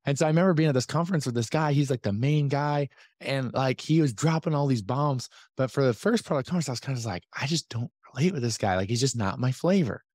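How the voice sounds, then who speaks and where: Male podcast host, mid pitch, slightly hoarse, energetic and chatty, dry studio sound. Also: fast talker, no room sound. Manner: energetic, chatty, many fillers and asides. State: slightly hoarse from losing his voice. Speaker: podcast host and business coach. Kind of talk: casual talk to a community call.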